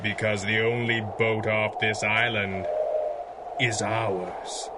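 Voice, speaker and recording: An actor playing a cool, menacing masked hero in a 1930s-style radio drama, deliberate, dry and commanding. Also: male voice, fairly low pitch, flat delivery. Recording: loud background noise.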